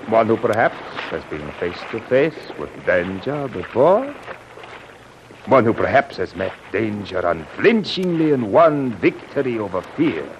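Low-voiced, suspicious gentleman traveler, portentous and swooping, in an old radio serial. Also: sing-song, unhurried, highs cut off. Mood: probing, courteous. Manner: theatrical, portentous, formal. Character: probing, suspicious male ship passenger, courteous and ominous. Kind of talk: 1930s radio serial acting. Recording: noisy band-limited old radio recording.

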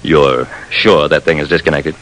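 An actor playing a suave, menacing criminal in old-time radio style, speaking smoothly and with calculation. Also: male voice, a bass voice, steady tone, moderate pace, a bit bright, pitched below the performer's own voice, low-quality recording. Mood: suspicious. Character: suave, menacing male gem-dealing hijacker. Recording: vintage radio broadcast sound.

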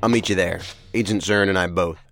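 Deep-voiced, businesslike federal investigator. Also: male voice, fairly even intonation, a bit bright, faint music behind. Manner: formal, measured, probing. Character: businesslike male federal investigator, cool and probing. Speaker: investigator in a task force. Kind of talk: audio drama acting.

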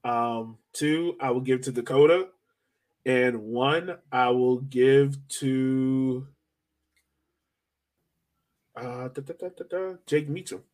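A man's voice: medium pitch, slow, darkish tone. An American man co-hosting a sports podcast in a casual, slangy chat style, breaking down players and performances. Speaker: podcast co-host.

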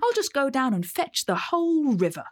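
A woman's voice: high voice, sing-song, moderate pace, voice pushed up. A woman voicing a small, clever, fearless boy in a cheeky, confident tone. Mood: cunning.

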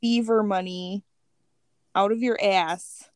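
A woman's voice: mid-range voice, steady tone, slow, dry recording, clean studio sound. A woman co-hosting a podcast who cracks crude jokes and giggles often. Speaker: podcast co-host. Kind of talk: casual podcast chat.

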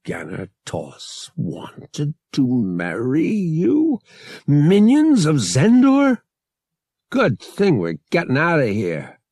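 A man's voice: fairly high pitch, huge pitch swings, extremely slow, darkish tone, husky, no echo, clean studio sound, voice pushed up, heavily acted. A man voicing a brash, impatient rogue adventurer in an audiobook, curt and snarling. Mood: surprised, brisk. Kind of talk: audiobook character acting.

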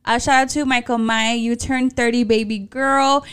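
A woman's voice: a little high, fairly even intonation, normal speed, fairly bright tone, smooth. Profane, laughing Mexican American woman host. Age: late 20s. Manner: casual, profane, laughing, switches into Spanish. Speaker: podcast co-host. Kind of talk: casual chat.

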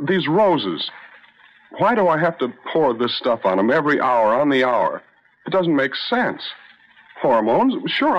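An actor playing a bewildered, deferential young lab assistant, stammering and earnest, in an old-time radio drama. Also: male voice, medium pitch. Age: young adult. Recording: muffled, noisy old radio recording.

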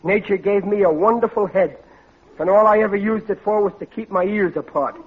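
A middle-aged American working stiff from a 1940s radio comedy, sheepish and bumbling. His voice is husky and high-pitched, and he stammers and blusters ungrammatically. It comes through a thin, phone-like old recording. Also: a little fast. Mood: glum, scheming. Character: bumbling, sheepish, guilt-ridden working-class American husband and father.

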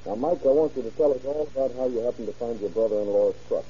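An actor playing a brisk, dutiful sheriff's deputy in an old-time radio crime drama, reporting facts in a plain, official way. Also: male voice, medium pitch, warm tone, close to an everyday voice. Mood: businesslike. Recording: dull, noisy vintage radio recording.

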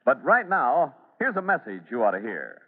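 A man's voice: medium pitch, wildly swooping pitch, normal speed. A man narrating an old radio serial in a dramatic, earnest announcer's manner. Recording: muffled, band-limited old radio recording.

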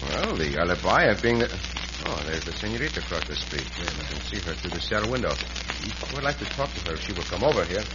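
An actor playing a gallant Mexican cowboy adventurer with a courteous, formal way of speaking in an old-time radio western. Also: male voice, low-pitched, sing-song, fairly quick. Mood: earnest. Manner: courteous, formal, avoids contractions. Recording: noisy, muffled vintage radio broadcast.

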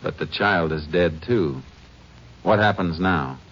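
An actor playing a laconic, methodical Texas Ranger in a 1950s radio drama, terse and matter-of-fact, with an American accent. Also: male voice, low voice, slow, fairly bright tone, a little hiss or hum. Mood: probing, cool. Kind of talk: 1950s radio drama acting and first-person narration. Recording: dull old radio recording, music behind.

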